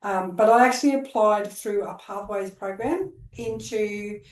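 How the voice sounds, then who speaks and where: Middle-aged Australian woman, social worker guest; chatty, rambling delivery, average pitch, roomy echoey recording. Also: a little slow, recorded from a distance. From Queensland, Australia. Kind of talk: casual storytelling interview. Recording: roomy echo.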